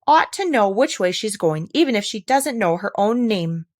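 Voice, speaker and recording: Storybook gentleman on a train, condescending and measured, played by a female reader. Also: mid-range voice, expressive, dry recording, clean studio sound, natural voice. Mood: disapproving. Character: disapproving gentleman passenger dressed in paper.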